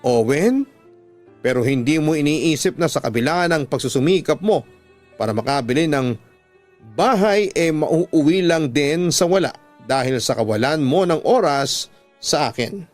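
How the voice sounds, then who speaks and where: A man's voice: fairly high pitch, animated, a little slow, soft background music. A man voicing a hurt wife who speaks frankly and reproachfully to her husband in a radio drama. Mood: pleading.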